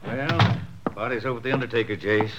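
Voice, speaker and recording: An actor playing a plain-spoken, wondering American country sheriff in a 1950s western radio drama, talking in a folksy, conversational way. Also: male voice, medium pitch, fast talker, natural voice. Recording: muffled, noisy old radio broadcast recording.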